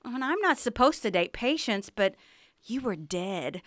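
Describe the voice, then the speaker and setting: Woman as sweet Southern ghost girlfriend, bright. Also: on the high side, very animated, measured pace, dry recording, clean studio sound. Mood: apologetic, sweet. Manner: coaxing, apologetic. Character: sweet, apologetic young Southern nurse girlfriend, secretly dead. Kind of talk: comic radio theater acting.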